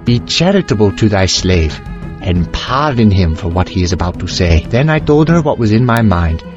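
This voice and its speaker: A man's voice: fairly low pitch, very animated, normal speed, muffled recording, over music. A man narrating an audio drama in the first person as a young merchant, with theatrical, archaic storybook diction.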